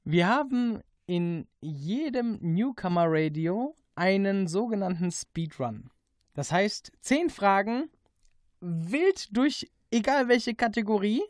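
A woman hosting a casual radio interview with quick-fire questions, giggling readily. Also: mid-range voice, animated, darkish tone, dry recording, studio quality. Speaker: radio host.

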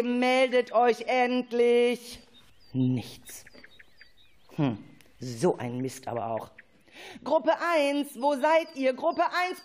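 Woman playing a worried, high-voiced group leader. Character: worried adult woman leading children's outing by radio. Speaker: adult leader accompanying a children's group. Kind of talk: scripted children's radio play acting.

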